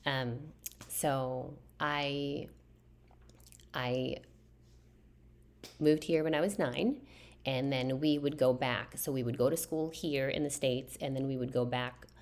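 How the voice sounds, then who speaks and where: A woman in her thirties, born in the Philippines, chatting casually to camera, answering viewer questions in a soft, low voice with many fillers and restarts. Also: creaky. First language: a Philippine language.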